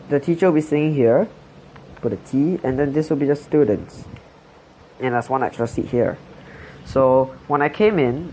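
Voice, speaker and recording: A man's voice: medium pitch, a little mellow, a little hiss or hum, low-quality recording. A young man in his late teens from Singapore, telling his life story in a hesitant voice-over full of fillers and restarts. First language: Chinese. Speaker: channel host.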